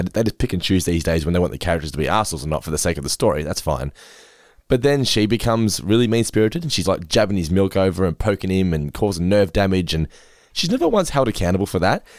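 A hoarse Australian man in his thirties, co-hosting a chatty podcast with animated, opinionated storytelling. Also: low-pitched, fast talker, no room sound, studio quality. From Geelong, Australia. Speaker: podcast co-host. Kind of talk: casual chat.

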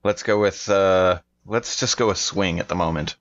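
Very deep-voiced man, slightly muffled. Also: normal speed, dry recording. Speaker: tabletop game player. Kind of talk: casual game talk.

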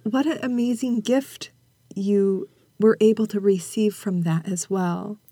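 A woman's voice: medium pitch, steady tone, measured pace, dark tone, slightly rough, no echo. A woman hosting a reflective podcast, interviewing a guest and reading scripted narration. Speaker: podcast host.

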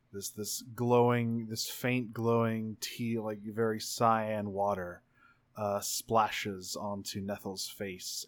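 A man with a slightly low voice narrates as a player in a tabletop game. He goes slowly, halting and repeating himself while he finds the words. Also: fairly bright tone. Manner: halting, searching for words as he improvises.

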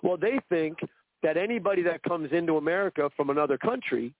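A man's voice: fairly high pitch, lively intonation, fairly quick, no echo. A man calling in to a radio show, chatting casually and rambling, often repeating words as he talks. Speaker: caller. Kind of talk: casual phone-in chat. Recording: dry, tinny phone line.

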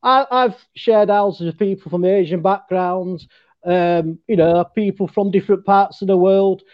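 A man's voice: sits high, steady tone, a little slow, no echo, dull audio. A man with a Leeds accent speaking casually and from the heart on a talk show, using local turns of phrase. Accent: Northern English, Leeds. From Leeds, England. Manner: chatty, heartfelt, says "what" for "that". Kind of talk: casual podcast chat.

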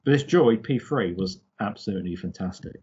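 A man's voice: lowish voice, expressive, fairly quick, a little mellow, slightly rough, muffled recording. A man co-hosting a casual chat, talking with emphatic enthusiasm. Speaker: podcast co-host.

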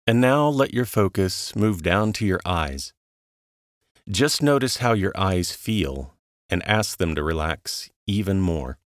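A man with a low voice leads a guided relaxation. He speaks very slowly and calmly, with soothing, measured instructions and long pauses. The sound is dry, with no room around the voice. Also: no echo. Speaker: guided meditation narrator. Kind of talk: slow guided relaxation narration.

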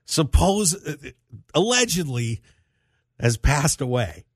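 An American man hosting a sports talk radio show, telling stories in an animated way with emphatic repetition. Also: fairly high pitch, takes it slowly, no echo, studio quality.

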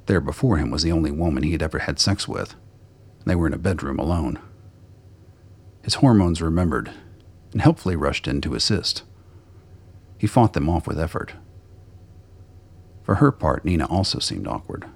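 A man narrates a scripted drama in an extremely deep voice. It is gravelly and husky, delivered as storytelling. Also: lively intonation, moderate pace, pauses often.

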